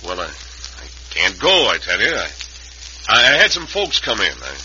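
A dull old radio recording of a ruthless cowboy outlaw. His voice is low and very forceful, his manner terse and cold. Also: wildly swooping pitch, moderate pace, slight background noise, full character voice. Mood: evasive. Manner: terse, hard, folksy cowboy phrasing. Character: cold, ruthless Western bank robber. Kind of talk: old-time radio western acting.

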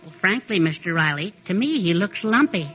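An actor playing a meek, fussy, henpecked neighbour in a 1940s radio comedy, speaking politely and hesitantly with prim literal-mindedness. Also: male voice, high-pitched, sing-song, fairly quick. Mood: puzzled. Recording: dull old radio recording with noise.

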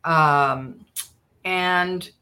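Deep-voiced middle-aged woman interviewee. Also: drawn-out words, background noise. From United States, in a Cuban immigrant community. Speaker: interview guest, history professor and author. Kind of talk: thoughtful conversational explanation.

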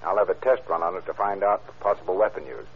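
An actor playing a steady, inquisitive railroad detective in an old-time radio crime drama. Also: male voice, low-pitched. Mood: businesslike. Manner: brisk, inquisitive, matter-of-fact. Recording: tinny, noisy old radio recording.

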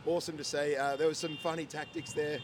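A hoarse man co-hosting a casual chat, voice frayed from shouting. He sits a bit high in pitch and reels off figures with enthusiasm. A faint hum of noise sits behind him. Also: level tone, fast. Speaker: co-host.

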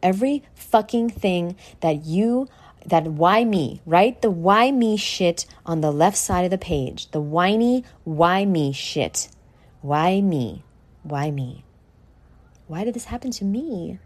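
A woman with a somewhat low voice delivers a motivational podcast monologue. She is blunt and brash, swears freely and addresses the listener directly. Key words get stretched out for dramatic emphasis in an otherwise informal delivery. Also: animated, slow pace. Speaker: podcast host. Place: at home in a condo.

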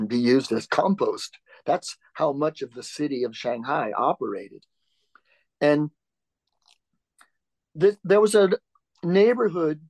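A man talking through his answers in an interview, pausing and restarting as he finds his words. His voice sits a little high. It is recorded close up in a dry space with almost no room sound. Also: vocal fry, a little mellow, dry recording, poor audio. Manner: halting, with frequent restarts and filler words. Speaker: author and interview guest.

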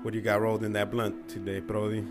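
Laid-back male podcast host, casual and jokey, clear music bed behind. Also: lowish voice, completely flat delivery, fast. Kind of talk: casual chat.

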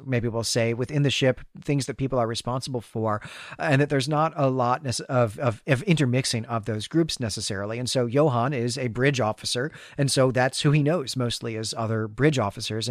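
A man's voice: medium pitch, no echo. A man with a mid-range voice hosts a discussion podcast. He explains things analytically in a conversational recap style. The sound is dry, with very little room in it. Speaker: podcast host.

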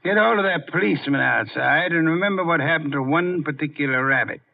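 A pompous, irritable great detective, with a fairly high voice that creaks and growls. He speaks deliberately and theatrically, as in 1950s radio drama. The recording is dull and old. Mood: accusing. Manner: theatrical, deliberate, haughty, grunts and chuckles. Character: pompous, brilliant, irritable male private detective. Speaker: lead actor in detective drama.

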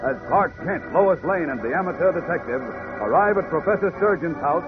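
A man's voice: fairly high pitch, animated, fairly quick. A man narrating a 1940s radio serial in a formal, dramatic announcer style. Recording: tinny 1940s radio recording with loud music.

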